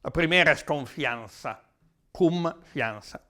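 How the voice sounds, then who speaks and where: A man with an Italian accent giving a reflective, explanatory talk in a language that is not his native one, sometimes halting as he searches for words. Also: a little high, bright, forceful tone. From Italy. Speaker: lecturer.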